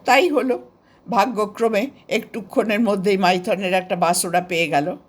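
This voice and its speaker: A woman with an Indian Bengali accent narrating a scripted story of memories in an expressive, unhurried storybook manner. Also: medium pitch. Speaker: author narrating her own story.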